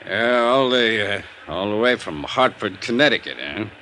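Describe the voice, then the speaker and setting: Gruff American newsroom boss, hard bright tone, blunt, vintage radio acting, faint noise. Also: male voice, on the low side, lively intonation, moderate pace. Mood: blunt, wary. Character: bluff, red-faced male newspaper managing editor. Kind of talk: 1940s-50s radio acting. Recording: noisy old radio recording.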